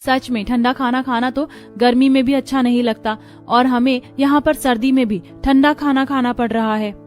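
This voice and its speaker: A woman's voice: high-pitched, fairly even intonation, moderate pace, a little mellow, faint music behind, natural voice. A woman playing a fussy, complaining female relative in a moral story. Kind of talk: acted dialogue in a moral story.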